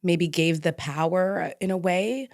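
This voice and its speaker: A woman's voice: on the low side, a little slow, a little mellow. A woman speaking as a podcast guest in a candid personal conversation, informal and reflective in how she talks.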